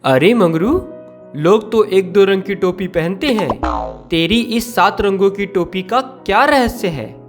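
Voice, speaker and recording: A man playing a bossy, officious royal minister in a cartoon story, loud and commanding. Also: sits high, lively intonation, a little slow, music in the background. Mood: challenging.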